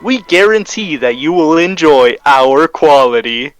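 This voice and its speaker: A man playing an upbeat, cheeky pitchman in a 1920s-style radio commercial. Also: expressive, measured pace, soft background music. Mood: upbeat, promotional. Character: upbeat, suggestive toothpaste pitchman in a vintage radio advert.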